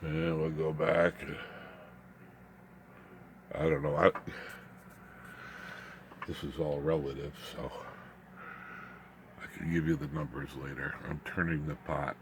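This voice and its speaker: A man giving an informal, unscripted electronics demonstration, haltingly, muttering asides as he works with his hands. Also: very deep voice, level tone, noisy background. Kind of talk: informal unscripted technical show-and-tell.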